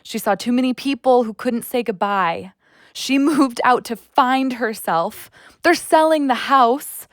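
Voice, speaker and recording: An earnest young woman with a wounded streak played by an actress in an audio play. The voice is fairly high and slow, friendly and confiding, very dry and close. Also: no echo, natural voice. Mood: confiding, sad. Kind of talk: audio drama acting.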